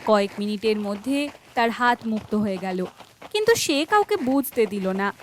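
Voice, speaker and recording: A woman's voice: medium pitch, lively intonation, moderate pace, a little hiss or hum. A woman narrating a scripted children's folk tale.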